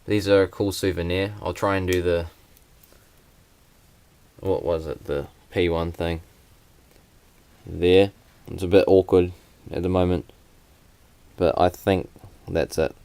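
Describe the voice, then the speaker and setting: A young man, speaking in a deep voice that barely moves in pitch. He talks plainly and haltingly, with many fillers, as he shows things to a camera. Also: steady tone, mellow, clear, resonant tone. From New Zealand. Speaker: vlogger. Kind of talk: casual unboxing vlog.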